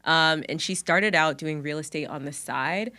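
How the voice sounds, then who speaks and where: A woman's voice: sits low, moderate pace, sharp tone. A young adult woman co-hosting a podcast in casual chat, upbeat and chatty. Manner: upbeat, chatty, frequent laughter. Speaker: podcast co-host. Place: Dallas.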